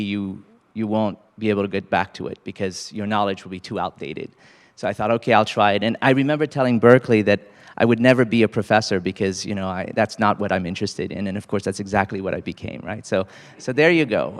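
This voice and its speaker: A man in his forties from Cambodia, an associate dean giving a casual, halting talk to students with many fillers. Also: on the low side, big-room sound. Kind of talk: faculty panel talk to students.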